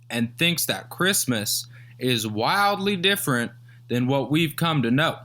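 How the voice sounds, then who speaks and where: A man playing a skeptical, mocking TV talk show host in a radio drama, deliberate and patronizing. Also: fairly high pitch, pushed and bright, exaggerated voice. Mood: showman announcing. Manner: deliberate, condescending. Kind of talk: high school radio drama acting.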